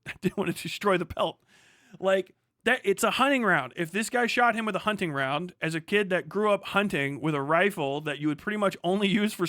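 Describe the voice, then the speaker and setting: Male streamer, forceful bright tone, slightly high pitch, casual commentary, heavy swearing, rambling emphatic explanations. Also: no room sound, clean studio sound. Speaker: livestream host.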